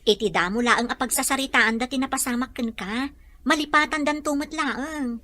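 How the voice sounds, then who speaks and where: A woman playing a caring mother who gently advises her grown daughter in a radio drama. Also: high-pitched, moderate pace, no echo. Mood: concerned, advising. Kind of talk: Ilocano radio drama acting.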